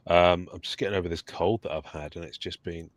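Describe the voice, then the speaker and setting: A man's voice: sits low, fairly even intonation, brisk, dry recording. An English man co-hosting a live podcast, chatty and joking, a little throaty from a cold. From England. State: getting over a cold, throaty. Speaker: podcast co-host. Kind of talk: casual live-stream chat.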